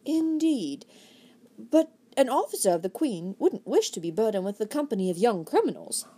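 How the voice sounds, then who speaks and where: A woman voicing a smooth, scheming gentleman with a polished, courtly manner. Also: a little high, very animated, moderate pace. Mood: wary. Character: smooth scheming gentleman, feigning loyal outrage.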